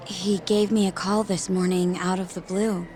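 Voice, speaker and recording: An actress playing an urgent, secretive young woman in disguise in a video game, insistent and often hushed. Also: medium pitch, level tone, moderate pace, a little hiss or hum, natural voice. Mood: exasperated, businesslike. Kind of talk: English game dub cutscene acting. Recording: music behind the dialogue.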